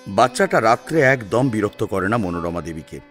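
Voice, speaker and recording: A man with a fairly low voice tells a serial story as its narrator. He reads in a dramatic storytelling style over faint sitar music. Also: sing-song, a little fast, fairly bright tone. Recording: music under the voice. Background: sitar instrumental music.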